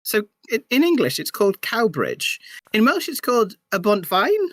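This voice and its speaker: A woman's voice: a little high, sing-song, moderate pace, no room sound, studio quality. A woman chatting casually in a wry, self-mocking way. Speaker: audio drama writer. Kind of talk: casual chat. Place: live online group stream.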